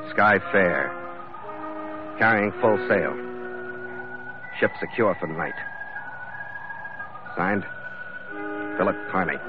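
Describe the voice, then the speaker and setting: An American actor playing a terse, steadfast sea captain who also narrates a classic radio adventure. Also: male voice, deep voice. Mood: clipped, formal. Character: brooding, steadfast male sea captain of a sailing ship. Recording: dull vintage radio recording, loud music.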